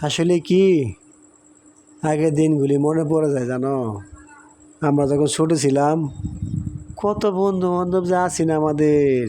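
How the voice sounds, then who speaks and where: A high-voiced, cheerful village man, acted by a man for a cartoon. He speaks rural Bangladeshi Bengali in a chatty, colloquial way. He is hospitable, a little boastful and keen to insist. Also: a little slow, pauses often, warm tone, slight background noise, lightly acted. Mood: warm, nostalgic. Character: cheerful, hospitable village husband, boastful about his cattle. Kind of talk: amateur cartoon dialogue.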